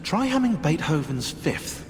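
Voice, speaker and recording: A man playing a sardonic, excitable know-it-all historian in a video game, wordy and sarcastic. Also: on the high side, unhurried, a little hiss or hum. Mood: dry sarcasm. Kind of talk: naturalistic video game acting. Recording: reverberant hall, music behind.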